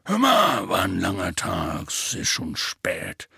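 A man voicing a big, good-natured half-giant in an audiobook, with a gravelly, raspy voice and a hesitant, colloquial drawl. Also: low voice, huge pitch swings, slow pace, sharp tone, no echo, clean studio sound, voice pushed down, full character voice. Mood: tired, reassuring. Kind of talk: audiobook character voice.

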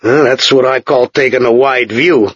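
Man voicing morose weary engineer, dry grumbling, slightly husky, lo-fi dry sound. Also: mid-range voice, moderate pace, dry recording, close to an everyday voice. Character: weary, morose male engineer far from home. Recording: dull lo-fi dry recording.

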